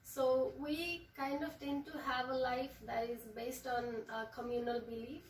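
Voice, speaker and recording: A young woman from Nepal whose first language is Nepali, explaining her home culture as an interview guest in a measured, hesitant way. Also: high voice, steady tone. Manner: measured, hesitant, with frequent fillers. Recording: echoey room, voice from a distance.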